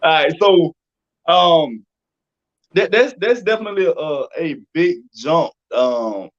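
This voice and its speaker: A slightly muffled, lo-fi recording of an American man with a fairly high voice. He hosts a casual interview, phrasing his questions informally and working them out aloud. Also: expressive, takes it slowly. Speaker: interview host. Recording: dull lo-fi audio.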